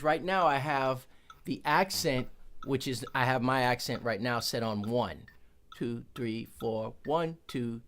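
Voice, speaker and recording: A drummer talks through a product review in a relaxed, chatty way, correcting himself and tossing in jokes. His voice sits at an ordinary middle pitch for a man. He is recording in his office. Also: slight background noise. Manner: chatty, self-correcting, joking asides. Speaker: percussionist reviewing a metronome.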